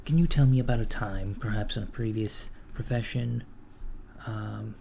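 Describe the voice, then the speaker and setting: A man playing a dry, deadpan villain's recruiter in a soft-spoken ASMR roleplay, measured and a little hesitant as he interviews henchmen. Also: fairly low pitch, gravelly, a little hiss or hum. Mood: businesslike, deadpan. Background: computer keyboard typing.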